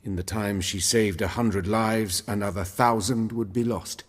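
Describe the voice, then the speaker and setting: An actor playing a stern, proud professor of surgery in a video game, speaking in a measured, formal, dry way. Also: male voice, on the low side, steady tone, husky, in a large hall. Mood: dry, jaded.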